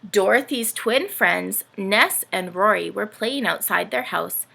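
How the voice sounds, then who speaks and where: A woman reading a story aloud to children in an animated, expressive way, inviting them in. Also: medium pitch, a little slow, vocal fry. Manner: animated, asks the young listeners questions.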